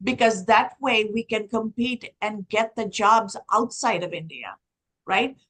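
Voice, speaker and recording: A woman's voice: mid-range voice, measured pace, fairly bright tone. A woman from Sri Lanka whose first language is Tamil, speaking on a conference panel and building her points in long lists.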